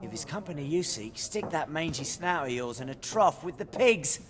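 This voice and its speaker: A man's voice: on the high side, animated, normal speed, natural voice. Man acting a rustic tavern villager, crowd behind. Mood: contemptuous. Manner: rustic, curt. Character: surly, contemptuous male village tavern drinker. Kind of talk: video game cinematic acting. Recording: loud crowd chatter behind the voice.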